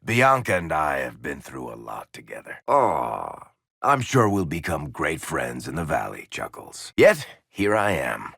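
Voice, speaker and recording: A man's voice: a bass voice, very animated, a little slow, gravelly, fairly bright tone, breathy edge, no room sound, clean studio sound, full character voice. A man playing a sardonic, teasing dwarf rogue and storyteller in a video game. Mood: wry. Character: wry dwarf rogue storyteller, shifty charming smuggler type. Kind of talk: video game character dialogue.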